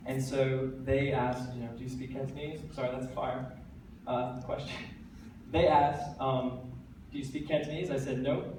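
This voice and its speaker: A teenage man with an American accent, giving an earnest, energetic stage talk with light self-deprecating jokes. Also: medium pitch, normal speed. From United States. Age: teens. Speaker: TEDx speaker. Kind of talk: stage talk to a live audience. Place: large hall. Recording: very echoey hall, voice from a distance, faint background noise.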